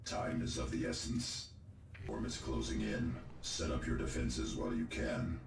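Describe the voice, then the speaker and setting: Extremely deep, solemn military advisor. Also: male voice, vocal fry, a little hiss or hum, exaggerated voice. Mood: urgent, grave. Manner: solemn, deliberate. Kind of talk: video game mission briefing. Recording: echoing voice over game music.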